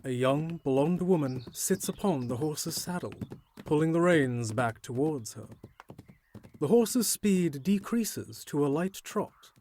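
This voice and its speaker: Guy narrating an audio drama, voice a bit on the high side, scripted scene descriptions. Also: lively intonation, slow, a little mellow, a little hiss or hum.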